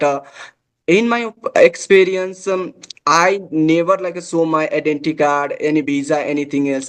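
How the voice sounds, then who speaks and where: A man from Nepal with a Nepali accent and native Nepali, chatting casually in halting, repetitive English. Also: fairly high pitch. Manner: halting, repetitive, says "like" often. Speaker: English conversation practice host. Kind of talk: casual conversational chat.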